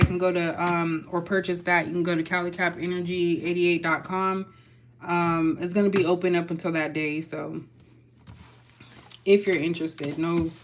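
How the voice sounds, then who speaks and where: Casual young female reader with a Texas twang, low-pitched, folksy and loose. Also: a little hiss or hum. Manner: chatty, loose, folksy with y'all. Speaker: tarot reader. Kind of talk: casual spiritual reading.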